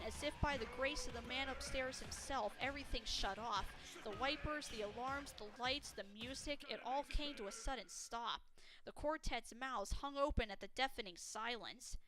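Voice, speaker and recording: A woman reading a story aloud as a dramatic, scripted narration. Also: sits high. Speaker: fan fiction narrator.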